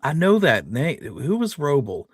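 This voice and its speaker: A man's voice: a little high, wildly swooping pitch, unhurried, husky, no echo, studio quality. A man hosting a show in casual, friendly conversation with his guests. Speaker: show co-host. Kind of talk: casual chat.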